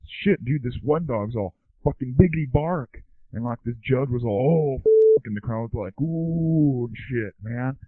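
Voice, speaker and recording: A man rambles in slang as a comic guest, with a stoner-like slacker delivery. His medium-pitched voice comes over a dry, tinny phone line. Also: unhurried, few pauses, no room sound.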